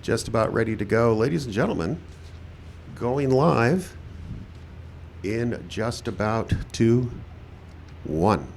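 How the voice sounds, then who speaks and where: A man's voice: mid-range voice, animated, takes it slowly, vocal fry, pauses often, slight background noise. A man hosting a live radio show outdoors, speaking like a showman with informal asides. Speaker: host and announcer. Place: outdoor park stage.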